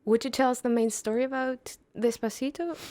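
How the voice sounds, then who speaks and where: A woman's voice: on the high side, fairly even intonation, brisk, dark tone. Woman with a German accent asking questions. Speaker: radio interviewer. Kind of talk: interview questions.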